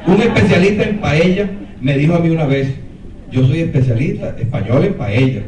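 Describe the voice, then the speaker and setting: A man's voice: a little high, moderate pace, a little hiss or hum. Venezuelan man lecturing in an echoey hall. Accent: Venezuelan Spanish. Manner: animated storyteller with frequent fillers and restarts. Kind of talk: conference lecture with anecdotes. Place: conference hall. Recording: roomy hall, mic far off.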